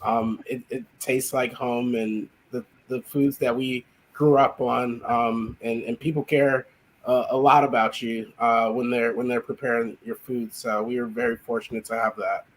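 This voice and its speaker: A man's voice: mid-range voice, level tone, moderate pace, rough recording. Chicago guy guesting on a show, lots of ums and restarts, mid voice. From Chicago, USA. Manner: hesitant, many restarts and ums. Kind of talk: casual interview reflection.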